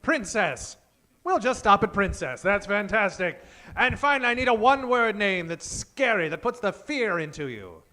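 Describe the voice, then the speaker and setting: High-pitched, bright theatrical male show host. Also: sing-song, moderate pace. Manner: theatrical, showman-like, projecting to an audience. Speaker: live comedy show host. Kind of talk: old-time 1940s radio emcee patter, improvised. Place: on stage in a theater before a live audience.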